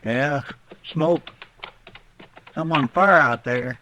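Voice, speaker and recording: Jittery frontier sidekick, rambling Western drawl, fairly high male voice, noisy vintage radio recording. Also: drawn-out words, bright, forceful tone, full character voice. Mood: curious. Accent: American Western drawl. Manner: folksy, hesitant, rambling. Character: Chester, folksy, jittery, simple-minded frontier sidekick to a marshal. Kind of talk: old-time radio western acting.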